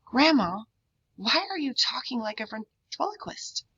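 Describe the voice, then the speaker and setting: Woman reading as a bright, earnest boy detective. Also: on the high side, very animated, a little slow, a little hiss or hum, voice pushed up, full character voice. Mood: worried. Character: curious, analytical young boy detective. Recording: dull, lo-fi sound.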